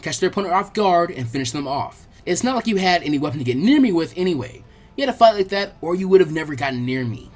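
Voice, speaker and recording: A narrator doing a blunt, sarcastic teenage ninja girl, swooping pitch. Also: very animated, fast, soft background music. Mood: teasing. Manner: blunt, casual. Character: brisk, easily annoyed teenage girl ninja, sarcastic but friendly.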